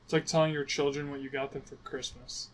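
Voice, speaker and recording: A man's voice: mid-range voice, little pitch movement, a little fast, rough recording. A young man co-hosting a sports podcast, blunt and teasing, swearing casually. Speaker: podcast co-host. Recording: faint background hiss.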